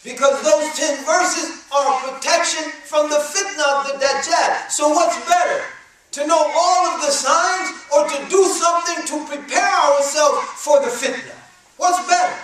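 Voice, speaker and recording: A man preaching a sermon with a high, ringing voice, reciting verses quickly in a second language and pressing his points with rising emphasis. He is picked up from some distance, and his voice booms around a very echoey room. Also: fairly bright tone, slight background noise. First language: English.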